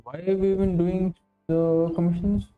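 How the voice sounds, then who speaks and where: A man's voice: high voice, flat delivery, moderate pace, clean tone, phone-quality sound, faint music behind. A man hosting a live game stream, chatting casually. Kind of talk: casual gaming stream chat.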